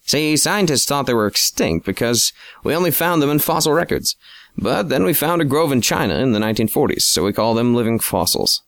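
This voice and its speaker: A man's voice: medium pitch, lively intonation, fairly quick, vocal fry, fairly bright tone, no echo, voice pushed up. A man voicing a smug, stubborn crew boss who lectures and brags. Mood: lecturing. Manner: cocky, lecturing.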